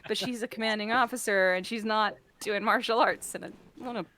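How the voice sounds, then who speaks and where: A woman chatting casually and geekily about sci-fi shows, talkative and animated, as a guest on a stream. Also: mid-range voice, fairly quick. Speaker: guest on a fan talk stream.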